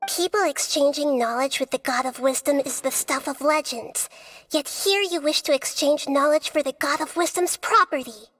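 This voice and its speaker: Regal little goddess: high voice, calm, stern, formal and deliberate, for a game cutscene. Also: female voice. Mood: guarded, intrigued. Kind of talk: video game cutscene acting.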